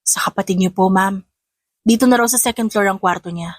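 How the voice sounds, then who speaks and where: A woman's voice: medium pitch, fairly quick, no echo, studio quality, close to an everyday voice. A woman voicing a deferential, apologetic household maid who speaks meekly and politely in an audio story. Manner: meek, polite.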